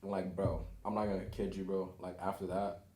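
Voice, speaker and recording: A man's voice: sits low, level tone, fairly quick, a little mellow. Laid-back young man, roomy sound. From Florida. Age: young adult. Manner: laid-back slang, says "bro" a lot. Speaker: co-host. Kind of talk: casual chat.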